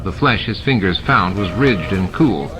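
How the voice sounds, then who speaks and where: A man's voice: sits low, lively intonation, a little slow, rough recording. A man narrating scripted fiction as an audiobook reader. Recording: music and sound effects mixed under narration.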